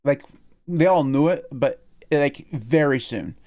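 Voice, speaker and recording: A man's voice: mid-range voice, slow pace, a little mellow. Male podcast host, chatty, giggly, rambling. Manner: laughs often, rambling with restarts. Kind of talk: casual podcast chat.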